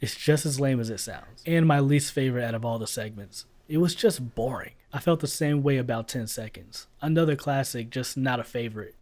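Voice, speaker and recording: A man's voice: medium pitch, brisk, few pauses, a little mellow. A man giving casual voice-over commentary as a video reviewer, blunt and sarcastic, swearing freely. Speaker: YouTube reviewer.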